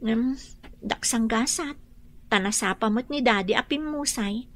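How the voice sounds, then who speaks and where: A woman's voice: a little high, a little slow, a bit bright. A woman playing a weary mother, subdued and resigned, in an Ilocano radio drama. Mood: weary, reluctant. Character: weary, reluctant adult daughter and mother.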